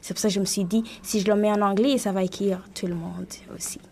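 A woman's voice: medium pitch, steady tone, fast talker, a little mellow. A woman in her twenties from Rwanda, introducing herself conversationally as a studio panel guest. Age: 20s. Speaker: panel guest, writer and event planner.

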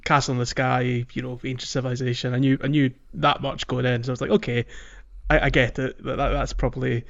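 A man's voice: mid-range voice, level tone, fast, creaky, a bit bright. A man co-hosting a podcast in casual, agreeable conversation about films and games, on slightly lo-fi audio. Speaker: podcast co-host. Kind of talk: casual film and game discussion.

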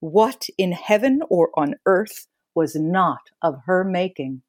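A woman's voice: lowish voice, expressive, slow, a little mellow, no echo, studio quality. A woman co-hosting a podcast, moving between recitation and conversation. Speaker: podcast co-host.

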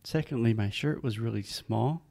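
Man with a gravelly voice, casual co-host. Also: medium pitch, moderate pace, dark tone. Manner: explains pronunciation patiently. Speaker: podcast co-host, English teacher. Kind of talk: casual chat.

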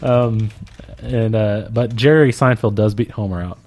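A man's voice: lowish voice, measured pace, a little mellow. A young man co-hosting a podcast, informally reading out a countdown list and tossing in his own opinions. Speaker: podcast host.

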